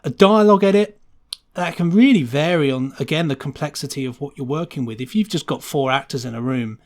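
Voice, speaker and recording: A man's voice: a little high, fairly quick, darkish tone. A man with a British accent giving a casual podcast interview as a sound designer, explaining his working process and illustrating points with imagined quotes.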